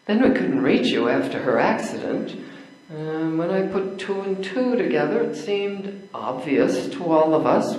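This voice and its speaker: Casting: older woman as prickly, meddling stage lady, slow measured delivery, heavy room echo. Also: low-pitched, a little mellow. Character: outspoken, prickly woman, indignant meddler with dry wit. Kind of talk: readers theater stage play. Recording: very echoey room, distant stage pickup.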